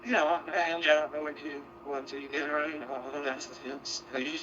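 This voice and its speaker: A man talking casually about technical matters as an amateur radio operator, halting and repeating words, over a digital radio transmission. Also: fairly high pitch, fairly even intonation, dull audio, noisy background.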